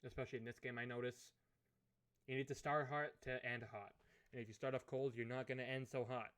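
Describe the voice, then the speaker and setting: A man with a hoarse, fading voice, thinking aloud as he commentates a hockey management game. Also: mid-range voice, flat delivery, a bit bright. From Canada. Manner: musing aloud, unhurried, thinking through choices. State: hoarse, losing his voice. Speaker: gaming commentator. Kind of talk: let's play commentary of a management sim.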